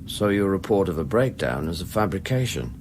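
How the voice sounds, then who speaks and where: An actor playing a sober, suspicious investigator in a radio play, pressing with short, clipped questions. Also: male voice, deep voice, very animated, fairly quick, slight background noise. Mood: frustrated, insistent. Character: probing, insistent male investigator, sober and suspicious. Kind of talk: radio drama acting.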